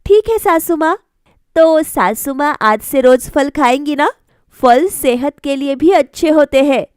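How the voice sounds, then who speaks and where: A woman's voice: high voice, animated, measured pace, dry recording, clean studio sound. A woman voicing a dutiful, caring young daughter-in-law in a family cartoon, speaking politely and respectfully.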